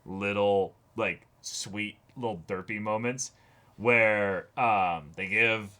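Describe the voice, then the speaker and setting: Young man chatting, halting and expressive. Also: on the low side. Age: young adult. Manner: animated, halting and repetitive, acting out moments. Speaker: co-host of an anime reaction show. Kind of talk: casual chat, episode discussion. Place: small indoor room, seated before a backdrop.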